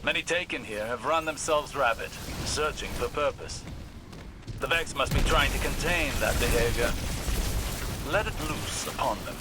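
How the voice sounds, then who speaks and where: A man playing a learned, commanding older warlock in a video game, speaking in a measured, formal and erudite way. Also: mid-range voice, close to an everyday voice. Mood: pensive. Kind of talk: video game mission dialogue. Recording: clear background game noise.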